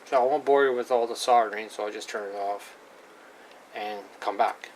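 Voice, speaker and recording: A man giving a casual, unscripted hands-on demonstration of radio gear, plain-spoken and halting, often repeating himself. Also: lowish voice. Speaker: hobbyist presenter demonstrating radio equipment. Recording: clearly noisy amateur recording.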